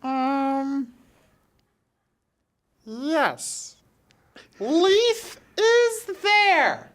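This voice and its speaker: A man voicing Potato, a nervous, hesitant female companion in a tabletop game, answering in halting, stretched-out words. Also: very high-pitched, very animated, a bit bright, pitched above the performer's own voice, full character voice.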